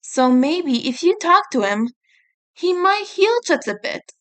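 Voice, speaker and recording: A gentle younger turtle brother from a story, played by a woman reader, with a high voice. He is playful and reassuring and takes his words slowly. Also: sing-song, heavily acted. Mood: hopeful.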